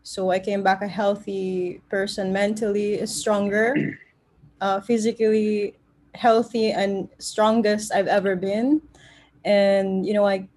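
Female host, casual, chatty, laughs easily, mid-pitched voice. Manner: warm, chatty introductions with frequent laughs. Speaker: interview host. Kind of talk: casual interview hosting.

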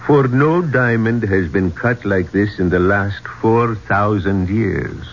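An actor playing a grave, discreet Egyptian police inspector with formal, guarded phrasing in a radio drama. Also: male voice, fairly low pitch, slow pace. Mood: ominous, measured. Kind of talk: 1970s radio drama acting. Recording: dull, noisy 1970s radio recording.

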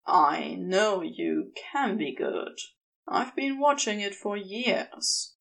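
A woman voicing a commanding but tender male demon lover in a fan fiction audiobook, with deliberate, praising speech. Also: mid-range voice, expressive, gravelly, a bit bright, full character voice. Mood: tender, coaxing.

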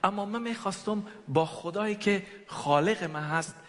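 A man's voice: high-pitched, racing. A man from Afghanistan with an Afghan accent, a native Persian speaker, preaching and giving testimony in short passages for an interpreter. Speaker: guest preacher. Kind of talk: sermon with personal testimony, pausing for an interpreter. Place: large echoing church hall. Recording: long hall reverb.

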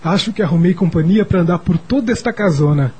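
A man playing a sickly, weary young pupil of a rich family in a Brazilian radio drama, soft and husky. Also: high-pitched, quick pace. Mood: confiding.

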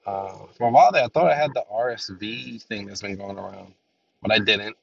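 A man's voice: on the low side, fairly quick, vocal fry, breathy edge, distant mic. A man hosting a podcast alone, rambling casually with lots of filler words, his voice nasal from a lingering cold. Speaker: podcast host. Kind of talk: casual solo podcast talk. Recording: dull lo-fi, a bit off-mic.

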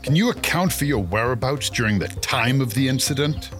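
A man playing a wry, hard-boiled police detective, deadpan in a comic radio mystery. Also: medium pitch, brisk, soft background music, lightly acted. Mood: wry, grave.

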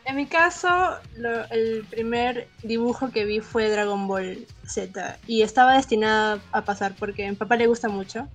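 A woman's voice: a little high, steady tone, normal speed, hardly any pauses, soft background music. A young woman, reminiscing casually as a podcast co-host.

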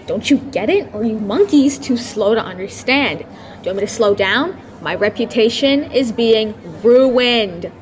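A young woman acting as a snobbish rich schoolgirl in a student audio drama. Her voice is fairly high, haughty and scornful, the school bully to the core. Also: expressive, moderate pace, natural voice.

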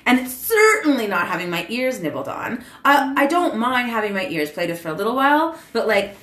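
A woman in casual chat who gets loud and animated when excited. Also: on the high side, brisk. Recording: echoey room.

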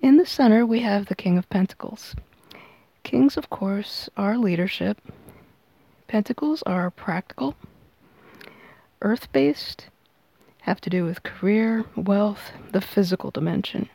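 Creaky-voiced woman giving a tarot reading. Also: mid-range voice, unhurried, pauses often, mellow, dry recording, poor audio. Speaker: tarot reader and podcast host. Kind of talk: unscripted explanatory tarot reading.

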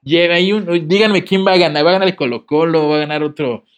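A man's voice: fairly high pitch, quick pace, clear, resonant tone. A man co-hosting a podcast, reading a letter aloud and breaking off into jokes and laughter. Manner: joking, laughs often, reads with comic asides. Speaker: podcast co-host.

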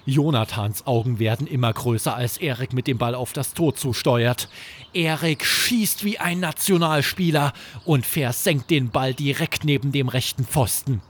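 Husky man telling bedtime stories. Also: mid-range voice, moderate pace. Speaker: podcast host and storyteller. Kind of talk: scripted children's bedtime story narration.

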